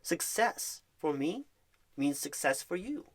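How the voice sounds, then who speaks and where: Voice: medium pitch, big pitch swings, slow pace. A performer playing an upbeat, reassuring job recruiter in a soothing ASMR phone-screen roleplay, talking in a wordy, encouraging, salesy way. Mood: encouraging, persuasive.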